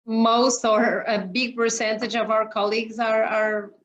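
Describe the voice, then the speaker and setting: A woman's voice: on the high side, level tone, a little slow, slight background noise. A woman with a Portuguese accent, a guest talking casually from her home office on a video call, hesitant with many fillers. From Mozambique. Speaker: interview guest, operations executive. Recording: muffled lo-fi video call audio.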